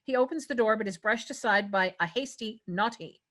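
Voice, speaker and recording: A woman's voice: medium pitch, a little fast, fairly bright tone. A woman reading stage directions as the narrator at a script table read.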